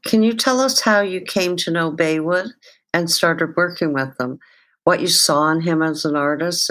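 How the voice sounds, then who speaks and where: A woman's voice: low voice, fairly bright tone. A woman hosting a podcast, reading scripted introductions and putting questions to guests. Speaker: podcast host.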